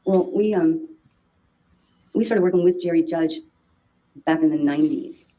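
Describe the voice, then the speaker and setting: A woman testifying as a witness in court, speaking haltingly with many restarts and fillers. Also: low-pitched, dark tone, rough recording. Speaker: trial witness.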